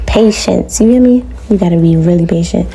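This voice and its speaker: Raspy young woman vlogging a hair tutorial, low mellow voice, gravelly, faint hiss behind. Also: low-quality recording. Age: young adult. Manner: relaxed, chatty, drawn-out slang. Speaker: beauty vlogger. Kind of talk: casual hair tutorial talk to viewers. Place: small room before a green screen.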